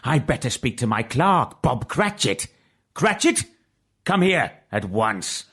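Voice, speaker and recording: Grumpy old miser guy, raspy n slow, snapping and grumbling, kids storybook drama. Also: medium pitch, very animated, fairly bright tone, heavily acted. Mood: grumbling, suspicious. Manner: curt, scornful, grumbling. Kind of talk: children's audiobook dramatisation.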